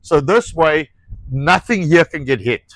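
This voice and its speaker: A man with a South African Afrikaans accent and a fairly high-pitched voice talks outdoors about his ranch work. He often stalls on words, filling gaps with "uh" and "you know" and restarting his sentences, and he swears offhandedly. The sound is dry and close. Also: animated, unhurried, fairly bright tone, no echo. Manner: searches for words, frequent fillers and restarts, swears casually. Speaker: ranch owner and show host. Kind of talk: casual outdoor project talk.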